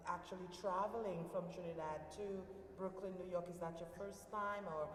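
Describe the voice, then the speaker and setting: A woman's voice: on the low side, steady tone, moderate pace. A woman hosting event coverage and putting questions to guests as an interviewer. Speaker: interviewer, programme host. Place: large indoor event venue. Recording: distant, echoing noisy hall.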